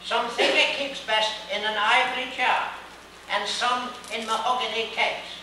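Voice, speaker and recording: Man acting a bright, echoing, pompous butcher. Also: very high voice, fairly even intonation, unhurried, pitched above the performer's own voice, full character voice. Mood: gleeful. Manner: lecturing, theatrical, breaking into chuckles. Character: pompous, genial butcher lecturing gleefully on a strange bird. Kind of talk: dramatic poetry reading. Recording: distant, very echoey hall, faint hiss.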